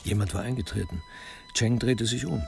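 A low male voice with a gravelly crackle narrates a crime radio drama. He tells it in measured, literary phrases, with music clearly playing behind him.

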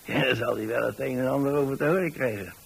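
Gravelly old pensioner, rambling fondly. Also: male voice, mid-range voice, fast. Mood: amused. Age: older. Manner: hesitant, rambling, chatty monologue. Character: kindly rambling old pensioner landlord, widower-like homebody. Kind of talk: radio drama monologue.

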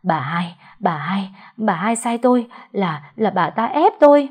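A woman's voice: fairly low pitch, steady tone, a little fast, slightly rough. A woman voicing a terrified young male servant who stammers in panic.

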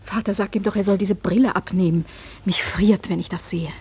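A woman's voice: mid-range voice, fairly even intonation, a little fast, a little mellow, husky. An actress playing a timid, fretful colonel's wife and mother, pleading fearfully in a 1940s German radio play. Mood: frightened.

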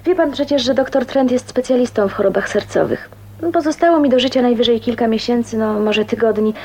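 A woman's voice: a little high, a little fast, darkish tone, slight background noise, natural voice. An actress in a radio play voicing a shy but determined, well-bred young woman, polite, hesitant and sincere. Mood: earnest.

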